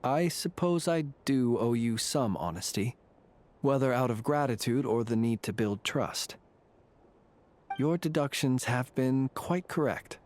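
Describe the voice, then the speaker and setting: An actor playing a courteous, secretive lantern keeper in a video game, speaking in a formal, measured, wry way. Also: male voice, mid-range voice, expressive, a little mellow, close to an everyday voice. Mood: candid, measured. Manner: formal, measured, dryly ironic.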